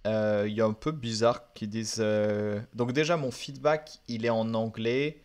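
A man's voice: lowish voice, steady tone, a little slow, darkish tone, clean tone. A young French man, a language teacher, reviewing apps in a casual vlog, frank and thinking aloud with many fillers. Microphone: camera mic.

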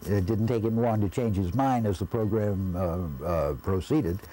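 An older man with a North American accent gives a reflective interview. His voice is low and mellow, and he chooses his formal phrases deliberately. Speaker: interviewee, former radio station staffer. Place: quiet indoor room. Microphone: lapel.

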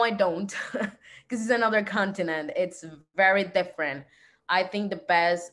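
Brief: lower-voiced woman, chatty and casual, video call. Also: fairly bright tone. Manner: chatty, laughs, "like" fillers. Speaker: student panelist. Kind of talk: video call panel answer.

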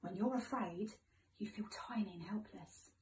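A British woman in her thirties, a teacher reading a picture book aloud to young children in an expressive, gentle way. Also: medium pitch, moderate pace, a little hiss or hum. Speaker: primary school teacher. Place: living room, indoors. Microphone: phone camera a few metres away. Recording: echoey room, far from mic.

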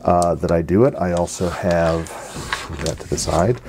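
A middle-aged man, giving a casual hands-on demonstration in a halting, unscripted way. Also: low-pitched. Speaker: radio hobbyist presenter. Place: basement radio workshop, indoors. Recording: noisy home basement recording.

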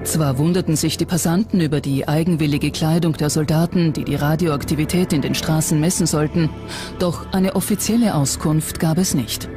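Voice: mid-range voice, steady tone, normal speed. Documentary narrator over background music. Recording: music under the voice.

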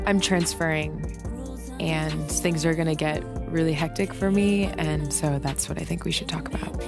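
An earnest young American woman in a video game scene. She speaks haltingly in a lowish, creaky voice over clear background music. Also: fairly even intonation. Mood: awkward, serious. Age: young adult. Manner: halting, restrained. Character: young woman awkwardly ending a relationship, earnest. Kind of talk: naturalistic game cutscene dialogue.

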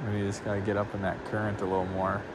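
A man's voice: lowish voice, fast. Upbeat man on a noisy riverbank. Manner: upbeat, excitable, chuckling. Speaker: fishing vlogger. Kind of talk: casual outdoor vlog narration. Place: outdoors on a rocky riverbank below a dam. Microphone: body-worn action camera. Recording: noisy outdoor camera audio. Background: passing train, wind and outdoor noise.

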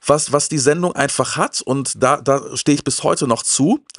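A man's voice: on the high side, normal speed, no room sound, clean studio sound. A man, chatting casually and telling personal stories in emphatic, slangy words. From Germany. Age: early 40s. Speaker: host of a retro TV talk show. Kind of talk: casual chat, personal anecdotes. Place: indoor room with shelves of films. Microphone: handheld microphone.

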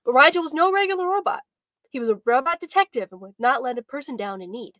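A woman's voice: sits high, lively intonation, fast talker, a bit bright, no echo. A young woman hosting a video stream and reading stories aloud in a storybook style. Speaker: host and writing instructor. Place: indoors by bookshelves, on a video stream.

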